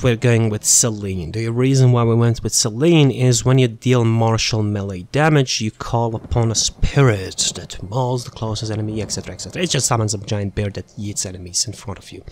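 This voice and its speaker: A man's voice: lowish voice, a little mellow. A man giving a casual, unscripted game build walkthrough in a rambling, informal way, thinking aloud as he goes. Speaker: gaming video creator presenting a character build.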